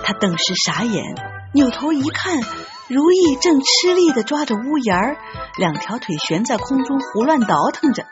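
A woman narrating an audiobook story in an expressive, scripted storytelling style. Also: sits high, moderate pace, highs cut off. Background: background music.

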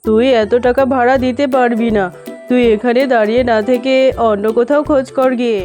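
A stingy tigress landlady from a children's cartoon, played by a woman. Her voice is fairly high, and she is curt and unyielding. Light music runs behind her. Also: fairly even intonation, brisk, a little mellow, lightly acted. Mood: dismissive. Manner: curt, refusing.

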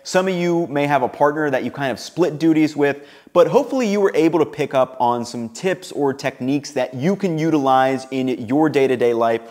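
A young man in his twenties, upbeat and relaxed, narrating his home cooking and chatting to the camera in his kitchen. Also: a little high, a little fast, hardly any pauses. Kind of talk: cooking vlog voice-over and casual to-camera chat.

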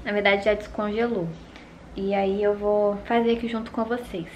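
A woman's voice: mid-range voice, flat delivery, moderate pace, low-quality recording. A young Brazilian woman in her twenties chatting casually to a handheld camera at home. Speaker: vlogger. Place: at home, covered garage and kitchen. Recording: faint background noise.

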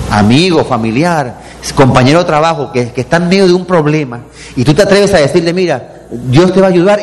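A middle-aged man preaching a sermon as pastor, animated, with many rhetorical questions to the congregation. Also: high-pitched. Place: church hall. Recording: reverberant hall with background noise.

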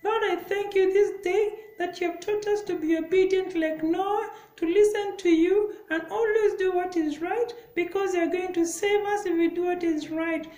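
An eager little girl puppet speaks extremely high. She is cheerful and encouraging, repeating phrases as a children's show co-host. The sound is echoey, as from a room at home. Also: fairly even intonation, normal speed, few pauses, warm tone. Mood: earnest prayer. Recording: roomy indoor echo.

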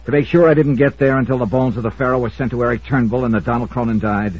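An actor playing a shrewd, sardonic insurance investigator, wry and pressing, in an old radio detective drama. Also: male voice, medium pitch, fairly even intonation, quick pace, close to an everyday voice. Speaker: star and narrator of the show. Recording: muffled old radio recording.

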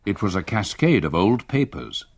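An older man with an English accent, an actor playing a grave retired solicitor narrating his haunted memories in a radio drama, measured and reflective. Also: fairly low pitch, sing-song, noisy background. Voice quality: husky. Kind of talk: literary first-person radio drama narration.

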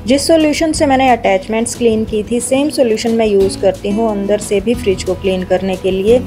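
A woman with an Indian accent giving a calm, step-by-step voice-over for a home cleaning video. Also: fairly high pitch, fairly quick. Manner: explains each step in a steady how-to tone. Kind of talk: calm scripted voice-over narration. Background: background music.

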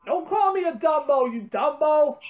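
High pitched woman, blunt n complaining, casual bickering. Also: expressive, normal speed, a little mellow. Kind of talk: casual bickering in a home-made prank series.

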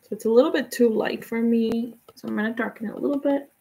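A woman's voice: fairly high pitch, fast, creaky, a little hiss or hum. Spanish-accented woman teaching live painting. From Guatemala. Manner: relaxed, chatty, laughs often, answers viewers' comments. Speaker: painting instructor.

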